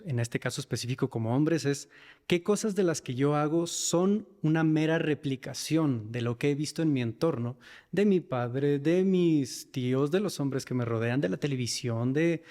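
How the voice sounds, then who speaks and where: In a reverberant room, a man with a northern Mexican accent talks in a reflective, personal way. His voice sits at an ordinary male pitch, as a guest in a conversation. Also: a little mellow. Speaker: podcast guest, men's mental health facilitator. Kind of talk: reflective personal interview talk.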